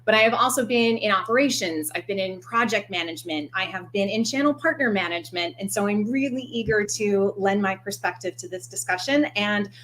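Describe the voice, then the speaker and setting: Woman, American accent, consultant on a panel, articulate, enthusiastic, medium pitch. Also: fairly quick, few pauses, fairly bright tone, slight background noise. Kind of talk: panel discussion talk.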